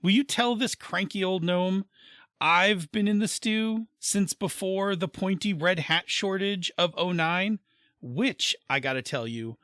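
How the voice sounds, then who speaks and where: A man's voice: high voice, measured pace, fairly bright tone, dry recording, clean studio sound. A man playing an argumentative old-timer gnome, blustering about seniority in a comedic audio drama. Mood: aggrieved.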